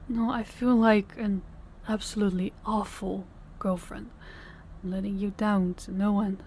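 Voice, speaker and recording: A young, exhausted girlfriend, voiced by a woman, in an audio roleplay. She speaks softly, hesitating and restarting her words. The voice sits mid-range, and a faint room ambience runs behind her. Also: mid-range voice, steady tone, a little slow, slight background noise, lightly acted. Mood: weary tender. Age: young adult. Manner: soft, hesitant, stammering restarts. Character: young woman, worn-out, loving girlfriend in debt.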